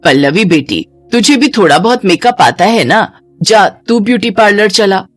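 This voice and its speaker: A woman puts on a scheming older mother from a cartoon village drama. She orders and coaxes with animated intonation. Music plays behind her. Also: medium pitch, normal speed, no room sound, close to an everyday voice. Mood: commanding. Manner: commanding, coaxing.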